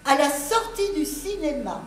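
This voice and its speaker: A blunt, scolding housemaid, played by a woman on a live theatre stage. Her voice sits high and she speaks slowly. The recording carries heavy hall echo and faint background noise. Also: very animated. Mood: matter-of-fact. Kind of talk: amateur stage acting. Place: theatre stage before an audience.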